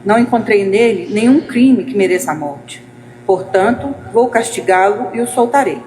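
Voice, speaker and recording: A woman's voice: mid-range voice, animated, normal speed, a little mellow, slight background noise, natural voice, poor audio. A woman reading the part of a formal, judging governor in a dramatized scripture reading, with declarative delivery. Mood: formal, reasoning.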